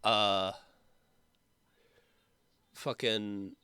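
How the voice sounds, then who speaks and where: A young man with a sick, slightly hoarse throat talks slowly and haltingly, stopping often to restart his thoughts. His voice is bright and clear, and the recording is dry and close. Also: lowish voice, level tone, no echo. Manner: rambling, halting, with many fillers and restarts. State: sick, throat sore from coughing. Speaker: YouTuber. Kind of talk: casual vlog update.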